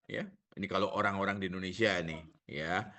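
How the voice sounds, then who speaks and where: A man's voice: sits low, fairly even intonation, brisk, bright, forceful tone. A male pastor with a Javanese accent preaching a sermon, asking the congregation rhetorical questions and joking.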